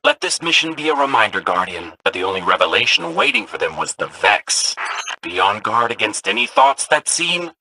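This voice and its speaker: Jittery, excitable little robot storyteller. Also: male voice, medium pitch, moderate pace, vocal fry, noisy background. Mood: spooky storytelling. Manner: theatrical, eager storytelling with jittery, swooping delivery. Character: small, excitable, bookish robot companion spirit, nervous lore collector. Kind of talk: video game character dialogue.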